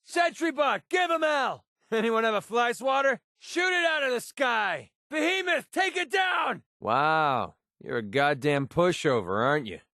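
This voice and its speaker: A man's voice: a little high, big pitch swings, moderate pace, no echo, studio quality. A male actor playing a cocky, sarcastic mercenary companion in a video game, with a casual, wisecracking way of talking. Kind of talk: video game voice lines, short barks.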